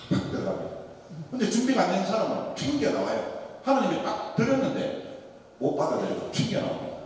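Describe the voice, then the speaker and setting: Male priest, Daegu accent, joking sermon storyteller, long church reverb. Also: sits high. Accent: Daegu dialect. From Daegu, South Korea. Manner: animated, joking storyteller with rhetorical questions. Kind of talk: Lenten sermon lecture. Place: large church hall. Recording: echoey church hall, mic far off.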